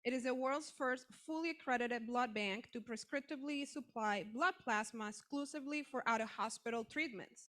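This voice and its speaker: A woman hosting an event, reading out a speaker introduction in a brisk, formal way. Her voice is a little high. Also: fairly bright tone. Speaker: event host.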